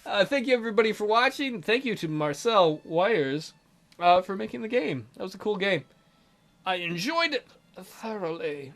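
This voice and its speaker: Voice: mid-range voice, big pitch swings, moderate pace, fairly bright tone. A gamer giving casual let's play commentary and reading game text aloud. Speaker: gaming video host. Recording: music behind.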